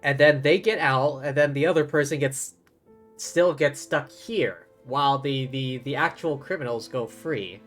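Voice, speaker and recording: Male game streamer, mid-pitched, thinking aloud. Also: a little slow, a bit bright, soft background music. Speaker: live streamer. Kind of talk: casual reactions and commentary on a game.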